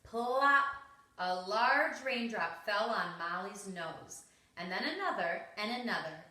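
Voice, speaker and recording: A woman's voice: medium pitch, animated, slow pace, bright, forceful tone. A woman reading a children's story aloud, expressively and with dramatic emphasis. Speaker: storybook reader. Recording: roomy, echoing home recording.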